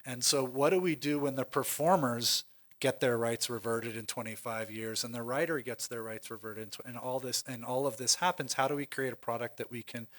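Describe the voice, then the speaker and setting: American guy on a panel, talks it through out loud, lots of um and i mean. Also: mid-range voice. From United States. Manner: thinking aloud, many restarts and fillers. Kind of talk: conference panel discussion. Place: lecture hall at a conference.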